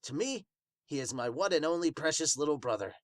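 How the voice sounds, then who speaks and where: An earnest warrior beast played by a man, devoted and dramatic, in an amateur manga dub. The voice is pushed high and swings widely, with formal, fervent phrasing and a dry, close sound. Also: expressive, fairly quick, no echo, lightly acted. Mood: solemn, devoted. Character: male warrior beast, earnest, devoted, booming big brother.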